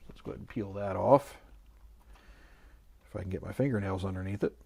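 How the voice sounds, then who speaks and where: A man speaks in a fairly low voice, as the host of a casual review. He thinks aloud without a script, often hesitating as he muses. His manner is mildly skeptical. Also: fairly quick, creaky, dark tone, slightly rough, dry recording. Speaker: host of an electronics review channel.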